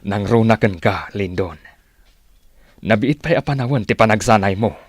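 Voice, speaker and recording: An actor with a Filipino accent playing a stern, paternal rebel leader in a radio drama. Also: male voice, fairly low pitch, moderate pace, breathy edge, dry recording, studio quality.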